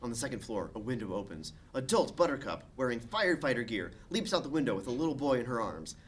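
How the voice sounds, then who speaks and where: A man narrating the stage directions of a comedy screenplay at a table read, with expressive delivery. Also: medium pitch, quick pace, slight background noise.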